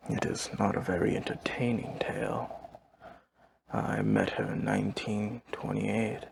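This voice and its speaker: A man playing an emotionless, courteous old vampire manservant in an audio roleplay, speaking formally and deadpan in a gravelly, breathy husk. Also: fairly low pitch, slight background noise, exaggerated voice. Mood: flat, reminiscing. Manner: formal, measured, deadpan, no contractions. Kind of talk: audio roleplay acting, addressing the listener.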